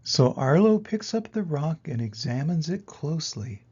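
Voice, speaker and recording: An older man reading a children's picture book aloud in an expressive way, with dramatic pauses and emphasis. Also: fairly high pitch, husky, muffled recording. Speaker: reader of children's picture books. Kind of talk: animated storybook read-aloud.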